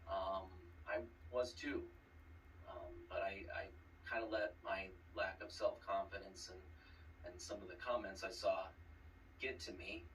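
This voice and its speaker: A man with a fairly low voice gives a hesitant, downcast confessional message in a casual style. He is a little far from the mic, with light noise. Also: steady tone, a little slow, fairly bright tone. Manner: hesitant, dejected. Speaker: trivia competitor. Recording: distant mic with faint noise.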